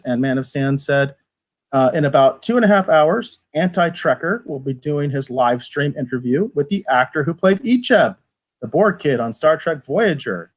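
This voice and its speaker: A man co-hosting a livestream in a casual, conversational style. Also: on the high side. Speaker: podcast co-host. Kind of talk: casual livestream chat, reading viewer comments.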